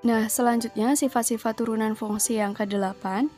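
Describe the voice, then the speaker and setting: A woman teacher with an Indonesian accent, calmly working through a math lesson step by step. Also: fairly high pitch, moderate pace, faint music behind. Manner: calm, methodical, explains each step aloud.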